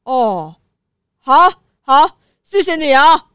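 A woman's voice: sits high, sing-song, takes it slowly, fairly bright tone, no room sound, clean studio sound, exaggerated voice. A woman with a Taiwanese accent playing a sheepish, defensive male customer at a car rental in a children's radio drama, hesitant and childlike. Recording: music under the voice.

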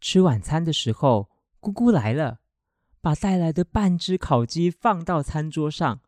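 A man's voice: fairly high pitch, lively intonation, unhurried, a little mellow, no echo. A man narrating a family radio drama as scripted storytelling.

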